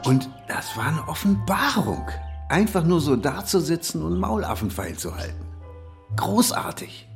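An ageing editor in a naturalistic radio play, a man in his fifties who is wistful and given to grumbling. He picks his words fussily and repeats them as he thinks aloud, with music clearly behind him. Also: a little high, sing-song, a little slow, heavily acted. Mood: musing. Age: 50s. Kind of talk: naturalistic German radio drama dialogue.

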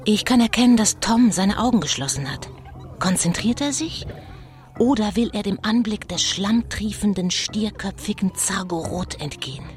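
An actress playing a suspenseful live reporter commentating on a ghost hunt in a children's audio drama. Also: female voice, medium pitch, animated, normal speed, natural voice. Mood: tense. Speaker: on-scene reporter. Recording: loud suspense music underneath.

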